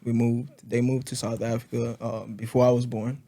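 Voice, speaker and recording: A young man in his twenties from Congo, co-hosting a casual podcast and thinking aloud in halting, reflective bits. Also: medium pitch, level tone, mellow. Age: 20s. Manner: halting, reflective, restarts often. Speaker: podcast co-host. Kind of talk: casual chat.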